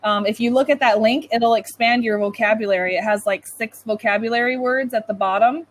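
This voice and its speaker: A North American woman with a slightly high voice gives a one-to-one online English lesson. She talks informally and chattily, like someone in an easy conversation, peppering sentences with "like". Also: brisk, a little hiss or hum. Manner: chatty, informal, says "like" often. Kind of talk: casual one-to-one lesson chat. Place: online video call lesson.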